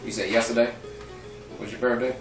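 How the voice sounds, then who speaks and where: A man's voice: mid-range voice, level tone, fairly quick. A man hosting a podcast in a casual, laid-back, slangy way with a lot of laughing. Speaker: podcast host. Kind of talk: casual chat. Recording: echoey room, distant mic, music behind.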